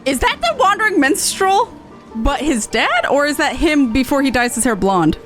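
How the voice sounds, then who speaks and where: A woman's voice: sits high, sing-song, normal speed, fairly bright tone. A playful, sweary woman chatting casually while streaming an online game. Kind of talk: casual stream chat and reading game dialogue. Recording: music in the background. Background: game music.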